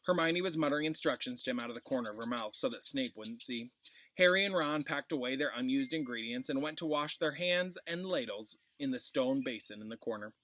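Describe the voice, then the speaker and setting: A man's voice: mid-range voice, lively intonation, brisk, a bit bright, dry recording. A man, a classroom teacher reading a story expressively for his pupils, dropping into informal asides. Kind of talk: expressive read-aloud for pupils.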